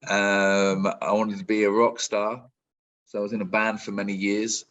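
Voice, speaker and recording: A man in his forties with a fairly low voice talks about his work in a loose, rambling way, often restarting his sentences. The audio is dull and lo-fi, as if from a video call. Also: completely flat delivery, moderate pace, a bit bright. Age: 40s. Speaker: business coach. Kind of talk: casual interview answers.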